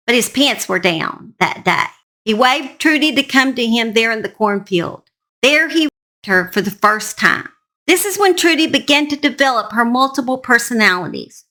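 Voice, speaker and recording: A woman's voice: fairly high pitch, pushed and bright. A woman hosting and telling a true-crime story in a folksy, emphatic, conversational way. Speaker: host narrator.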